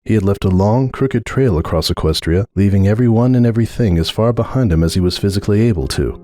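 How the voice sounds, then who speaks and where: A man's voice: on the low side, fast, mellow. A man reading a story aloud as narrator, in a dramatic scripted narration style. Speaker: narrator of fan fiction readings.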